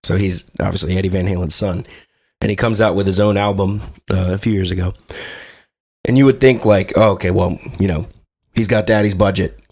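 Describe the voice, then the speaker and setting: Low-voiced man losing his voice. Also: steady tone, brisk, darkish tone, slightly rough. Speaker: podcast co-host. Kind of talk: casual chat.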